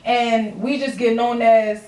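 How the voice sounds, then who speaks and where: High-voiced Philadelphia woman in a roomy space. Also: steady tone, slow pace, a little mellow. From Philadelphia, USA. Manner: blunt, streetwise, heavy swearing and slang. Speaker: podcast host and rapper. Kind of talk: casual podcast chat. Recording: roomy echo, distant mic, faint noise.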